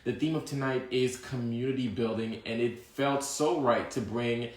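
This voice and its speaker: A man co-hosting a casual online talk show about couples, laughing often and talking with drawn-out emphasis. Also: medium pitch, darkish tone, reverberant. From Miami. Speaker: co-host.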